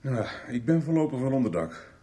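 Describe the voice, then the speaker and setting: An actor playing an evasive, weary husband in a Dutch radio soap. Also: male voice, mid-range voice, very animated, fairly quick, warm tone, close to an everyday voice.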